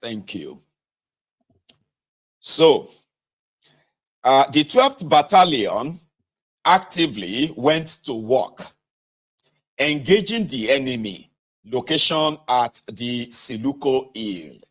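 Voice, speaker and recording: A man's voice: medium pitch, sing-song, slow pace, long pauses. A man with a Nigerian accent reading a book aloud and adding conversational asides, speaking directly to listeners and sometimes correcting himself. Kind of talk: read-aloud narration with casual asides.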